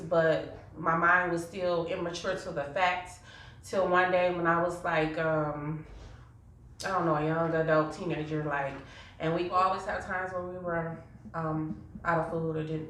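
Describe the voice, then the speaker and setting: A woman recounting a painful memory hesitantly, with frequent pauses and fillers. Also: fairly low pitch, fairly even intonation, a bit bright. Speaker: interviewee. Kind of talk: personal testimony. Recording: echoey room, mic at a distance.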